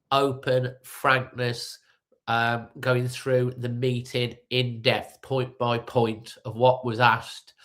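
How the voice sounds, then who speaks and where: A man's voice: mid-range voice, fairly bright tone. A middle-aged man with a stuffy nose from a cold, hosting a casual fan-channel live update with many ums and restarts.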